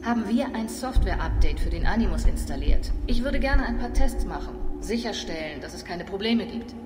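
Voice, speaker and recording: An actress plays a calm woman technician in a video game, talking the hero through a training course with even, instructive steps. Her voice sits in the middle range and carries a roomy, long reverb. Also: medium pitch, normal speed, over loud music, lightly acted. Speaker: game character guiding the player.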